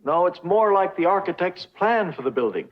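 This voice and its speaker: An older man playing a patient, kindly professor, lecturing deliberately and reassuringly in a 1950s educational film. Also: high-pitched, big pitch swings. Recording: dull vintage film soundtrack, music behind.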